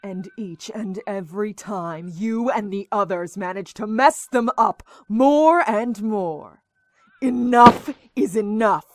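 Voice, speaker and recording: A woman's voice: mid-range voice, slow, close to an everyday voice. A woman playing a stern, impatient noblewoman in an audio drama, scolding and sardonic. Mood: angry, scolding. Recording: music under the voice.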